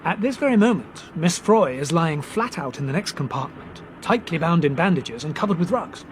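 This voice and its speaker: A quick-witted young Englishman, played by a male actor, spins his words with teasing theatrical relish. His voice sits slightly high, in a radio drama with a faint rumble behind it. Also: expressive, lightly acted. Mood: jaunty, teasing. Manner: jaunty, teasing, theatrical storytelling. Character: breezy, quick-witted young Englishman playing amateur detective. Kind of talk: British radio drama acting.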